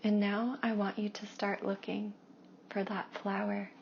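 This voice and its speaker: Slow, hushed woman guiding a meditation. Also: mid-range voice, flat delivery, vocal fry. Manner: hushed, soothing, drawn-out phrases with long pauses. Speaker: meditation guide and Reiki practitioner. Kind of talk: guided meditation narration. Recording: dull lo-fi with faint background noise.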